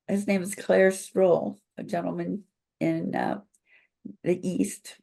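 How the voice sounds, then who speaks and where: An older woman, a company founder, speaking slowly and thoughtfully over a video call with a froggy voice from recent illness, pausing often with fillers. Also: fairly low pitch, flat delivery, vocal fry, mellow. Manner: slow, thoughtful, many pauses and fillers. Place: home office with bookshelves.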